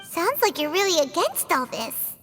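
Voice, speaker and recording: A woman voicing a tiny, childlike fairy companion in a video game, naive and excitable, with a squeaky, cutesy delivery. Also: normal speed, soft background music, exaggerated voice. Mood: confused, probing. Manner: squeaky, cutesy, refers to herself by name.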